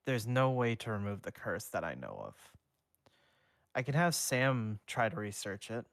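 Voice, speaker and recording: Weary, earnest teenage ghost hero who is tired of being misjudged, read by a man in his own low voice. The sound is dry and close, with little room around it. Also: fairly bright tone, no room sound. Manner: reads dialogue in his own voice.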